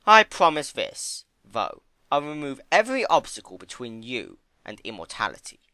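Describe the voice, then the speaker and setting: A man's voice: mid-range voice, wildly swooping pitch, normal speed, bright, forceful tone, dry recording, voice pushed up, full character voice. A man voicing a scheming male trickster creature in a theatrical dramatic storybook reading. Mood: calculating. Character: Discord, sly male trickster creature with talons. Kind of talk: dramatic fan fiction reading.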